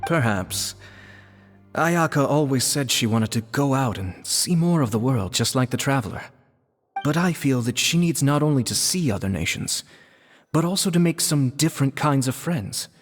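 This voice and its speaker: A level, mid-pitched voice, a man playing a courteous noble older brother who speaks in calm, formal sentences. Faint game music runs quietly behind him. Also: normal speed, close to an everyday voice.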